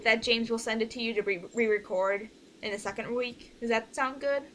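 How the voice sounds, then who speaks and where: Casting: informal woman organizer, slightly high pitch, explaining steps in a casual group call with light background noise. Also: fairly even intonation, brisk, a bit bright. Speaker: organizer leading a voice project meeting. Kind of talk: casual online group meeting.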